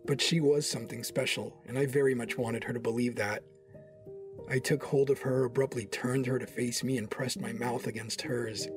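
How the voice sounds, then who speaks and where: Male Sith Lord acted by a man, smug and smitten, mid-pitched, first-person drama narration, faint music. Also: fairly even intonation, normal speed, natural voice. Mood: passionate, tender.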